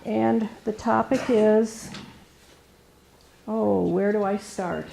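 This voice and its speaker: A soft-spoken woman with a gravelly, mellow voice presents to a room as a resource specialist. She talks hesitantly, full of fillers and restarts, and the room microphone catches a roomy echo. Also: mid-range voice, steady tone, very slow, a little hiss or hum. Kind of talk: informal presentation to an audience. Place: meeting room with an audience. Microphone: room microphone recorded for camera.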